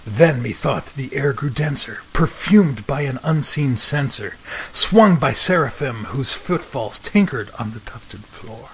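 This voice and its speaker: A man giving a dramatic, theatrical reading of gothic poetry, swelling in emphasis and dropping to hushed tones. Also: medium pitch, lively intonation, unhurried, slight background noise, low-quality recording. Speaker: amateur storyteller.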